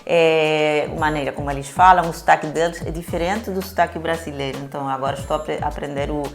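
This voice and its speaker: A woman's voice: sits low, steady tone, fast talker, faint music behind. A woman from Peru speaking Portuguese with a Spanish accent, explaining things conversationally as an interviewed missionary and often correcting herself. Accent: Spanish-accented Portuguese, Peruvian.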